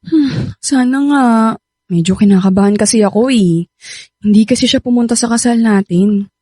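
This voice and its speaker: Actress as mellow, anxious young Filipina wife. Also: female voice, medium pitch, normal speed, husky, no room sound, clean studio sound, close to an everyday voice. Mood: anxious, unsure. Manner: hesitant, stammering when uneasy. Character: anxious, hesitant young wife of older man. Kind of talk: Filipino radio drama acting.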